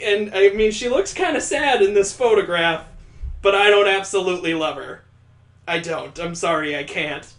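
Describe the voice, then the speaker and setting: A man with a slightly high voice reads a story game's text aloud in a mock-solemn tone. He keeps interrupting himself with dry, sarcastic jokes about what he's reading. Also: a bit bright. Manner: wry, mocking asides between dramatic readings. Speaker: let's play host.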